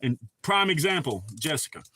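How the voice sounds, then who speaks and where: A man's voice: on the high side, sing-song, moderate pace, bright, forceful tone. A middle-aged man hosting a livestream, telling a heated, rambling story full of cursing. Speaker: livestream host. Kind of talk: casual ranting chat.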